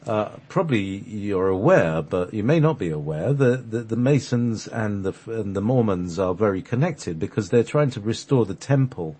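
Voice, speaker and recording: A man's voice: on the low side, animated, normal speed, hardly any pauses, dark tone, breathy edge. A man hosting a radio show, telling stories casually in a rambling, hesitant, jokey manner. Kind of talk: casual conversational storytelling.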